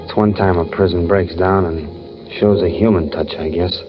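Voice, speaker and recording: A man's voice: sits low, fairly even intonation, moderate pace, close to an everyday voice. An actor playing a lonely, fearful condemned man, narrating his last hours in plainspoken, brooding first person for a vintage radio drama. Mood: grim, reflective. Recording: muffled old radio recording with music.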